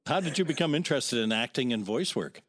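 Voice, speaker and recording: A man's voice: medium pitch, animated, fast, a bit bright. An older man speaking as a podcast host, putting conversational questions to a guest. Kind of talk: conversational interview.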